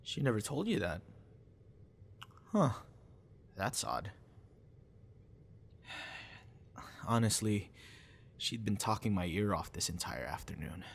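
A man playing a cute, teasing young boyfriend with a hidden sinister side in an ASMR audio roleplay, soft-spoken and casual. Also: fairly low pitch, big pitch swings, slow, creaky, long pauses, slight background noise, full character voice. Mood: casual, wry.

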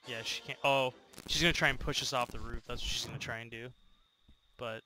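A man's voice: medium pitch, fairly even intonation, brisk, noisy background. A man streaming a horror game, casually swearing and mocking other players with frequent laughter. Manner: profane, mocking, laughs often. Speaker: gaming channel host. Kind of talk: casual gaming banter.

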